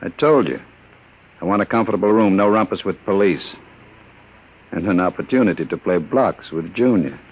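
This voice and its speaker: An actor playing a smooth, menacing gangster who talks in a cold, mocking way in a 1950s radio drama. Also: male voice, low-pitched, moderate pace. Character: smooth menacing male gangster, middle-aged. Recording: muffled old radio broadcast.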